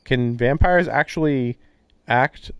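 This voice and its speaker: A man giving casual live-stream commentary on a game, thinking aloud in halting, musing fragments. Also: mid-range voice, clean tone, no room sound. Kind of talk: casual live commentary on a visual novel playthrough. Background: music.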